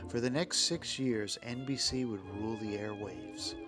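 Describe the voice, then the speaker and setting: A man narrating a scripted documentary podcast in a measured way, with dramatic pauses. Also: fairly low pitch. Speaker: podcast host. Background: music.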